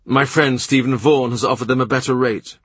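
A man's voice: medium pitch, fairly even intonation, fairly quick, a bit bright, husky, dry recording. A man voicing a shrewd middle-aged royal minister who talks in a dry, cutting, sardonic way. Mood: cool, sardonic. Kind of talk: audiobook character reading.

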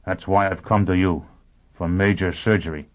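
Man playing conscience-stricken criminal, grim, bitter, lowish voice, grave intensity, narrow tinny recording. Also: steady tone, normal speed, close to an everyday voice. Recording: narrow phone-like sound.

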